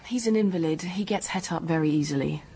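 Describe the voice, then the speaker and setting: An actress playing a young, guarded, matter-of-fact English dance hostess in a radio drama, speaking in a brisk, frank, colloquial way. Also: female voice, on the low side, dark tone, husky. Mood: defensive, frank. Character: hard-headed working-class hotel dance hostess, guarded, matter-of-fact.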